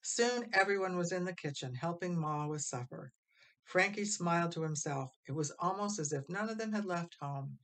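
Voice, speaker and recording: A woman reading a children's story aloud as expressive scripted narration. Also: fairly low pitch, normal speed. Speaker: storybook reader.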